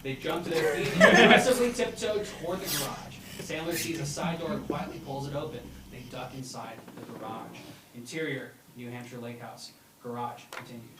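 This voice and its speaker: A man's voice: mid-range voice, fairly quick. A man reading stage directions aloud at a comedy script table read, prone to breaking into laughter. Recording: noisy echoey room, far from mic.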